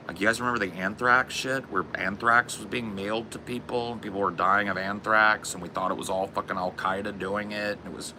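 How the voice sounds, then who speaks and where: Deep gravelly man, loose and profane. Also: noisy background. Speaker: podcast co-host. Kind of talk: casual podcast chat.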